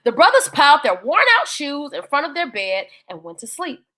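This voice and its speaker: A woman reading a children's bedtime story in an expressive, dramatic, folksy way. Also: high voice, normal speed.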